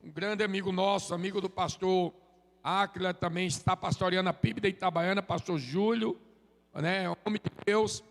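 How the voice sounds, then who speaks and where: A man, preaching a relaxed, joking sermon and prompting the congregation to answer. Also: sits high, moderate pace, long reverb tail. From Sergipe, Brazil. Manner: joking, chatty, drops "amém?" tags. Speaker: visiting preacher. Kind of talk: informal sermon with crowd call-and-response. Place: church sanctuary.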